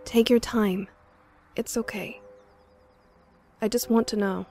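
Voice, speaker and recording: A woman voicing a calm, caring, gently insistent campmate in a fan audio drama, earnest and soothing. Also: a little high, takes it slowly, a little hiss or hum.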